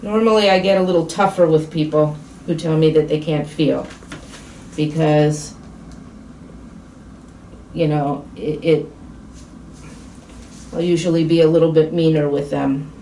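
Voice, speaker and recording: A woman podiatrist talking frankly with a patient in a measured, plain-spoken way. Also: low voice, fairly even intonation, mellow, far from the mic. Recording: echoey room, off mic, faint noise.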